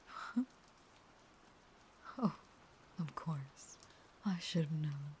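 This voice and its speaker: A woman playing a caged, starving vampire in an intimate audio roleplay, half-whispering in a slow, taunting, pleading and unstable way. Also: sits low, a little mellow, a little hiss or hum. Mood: hurt, angry.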